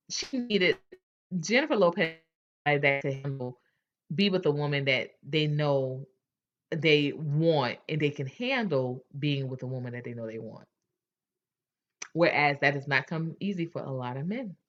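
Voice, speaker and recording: A woman's voice: low voice, lively intonation, unhurried, fairly bright tone, lo-fi sound. A woman hosting a casual chat, candid and chatty, often restarting her sentences. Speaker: host.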